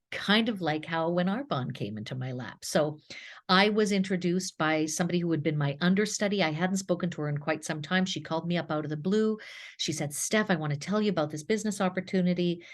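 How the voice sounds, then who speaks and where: French canadian lady in her 50s, bit low voice, gushing motivational team talk. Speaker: guest speaker.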